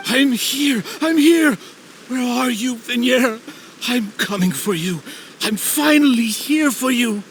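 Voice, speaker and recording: An actor playing an obsessive middle-aged man pining for his lost love in a video game, emotional, halting and pleading. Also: very high voice, a little hiss or hum, exaggerated voice. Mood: frantic hope. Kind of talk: dramatic video game voice acting.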